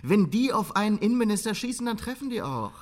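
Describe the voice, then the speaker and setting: A man playing a cynical, scheming party strategist in a German radio drama, with a sardonic, brisk delivery. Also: sits high, sing-song.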